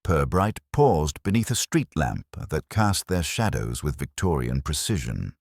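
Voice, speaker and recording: Male narrator, extremely deep, lively intonation, formal literary storytelling, measured, dry sound. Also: creaky, no echo. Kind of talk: scripted audiobook narration of a mystery story.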